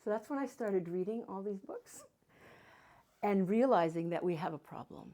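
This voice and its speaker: A woman's voice: mid-range voice, animated, normal speed, warm tone. An older woman from Canada, a guest speaker and matchmaker, talking casually to a live audience and telling stories with playful asides. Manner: chatty storytelling with playful asides.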